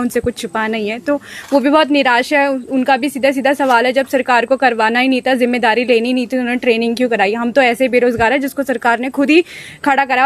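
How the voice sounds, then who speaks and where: A woman in her twenties from Uttarakhand, a protesting trainee teacher, argues her case with indignation and urgency at an outdoor protest site. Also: sits high. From Uttarakhand, India. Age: 20s. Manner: indignant, pleading, rapid argument. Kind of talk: impassioned street interview.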